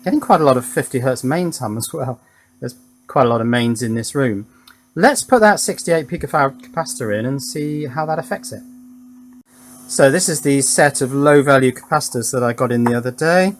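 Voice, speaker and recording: A man's voice: medium pitch, moderate pace. Man thinking aloud at the workbench. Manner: thinking aloud while working, talking to himself. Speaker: electronics hobbyist presenter. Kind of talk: casual hands-on demonstration commentary.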